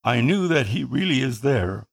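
Earnest gravelly man. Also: mid-range voice, big pitch swings. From Philippines. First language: Tagalog. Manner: earnest, measured reading. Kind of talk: scripted first-person narration.

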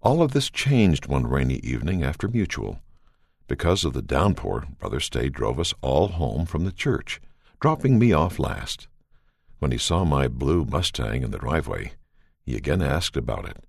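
Very deep, gravelly man reading a story. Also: big pitch swings, normal speed, no echo, clean studio sound. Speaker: reader. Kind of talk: scripted first-person story narration.

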